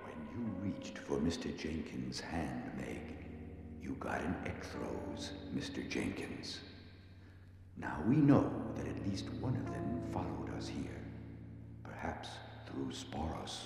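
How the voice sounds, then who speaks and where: A man voicing a solemn, wise cherubim guide in an audio drama, grave and deliberate, sometimes breathy. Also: a bass voice, very animated, full character voice. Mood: grave warning. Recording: cavernous long reverb, music underneath.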